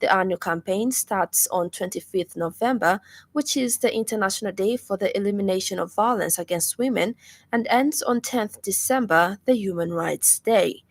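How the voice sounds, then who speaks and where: A woman newsreader delivers a scripted radio bulletin in a Zambian accent. Her voice sits at a medium pitch, and she reads formally, with a measured delivery. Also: dry recording. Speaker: radio news reader.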